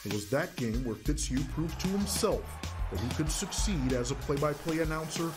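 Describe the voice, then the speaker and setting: A man's voice: a little high, lively intonation, normal speed, over music. A man with an American accent reading scripted narration for a news feature as a reporter.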